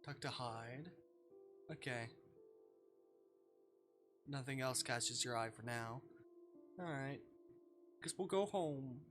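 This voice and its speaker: A livestreamer reading a visual novel aloud and commenting on it, expressive and sweary, with dramatic delivery. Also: medium pitch, takes it slowly, pauses often. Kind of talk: livestream gameplay commentary mixed with read-aloud narration. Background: music.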